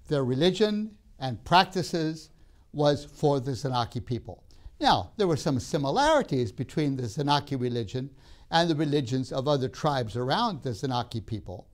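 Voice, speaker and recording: An older man who grew up in Tanzania, giving a measured classroom lecture with emphatic pauses, recorded on a lapel mic in a studio classroom. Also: medium pitch, sing-song. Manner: measured, emphatic pauses, gestures while talking.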